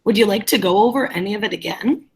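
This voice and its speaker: A woman teacher with a husky voice reading a play script aloud and directing readers in an online class. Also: medium pitch, fast talker.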